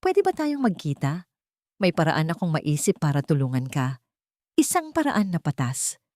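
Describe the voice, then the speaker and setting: A woman's voice: low voice, wildly swooping pitch, moderate pace, warm tone, no room sound, clean studio sound. A woman reading as a kind, wealthy young woman. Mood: earnest.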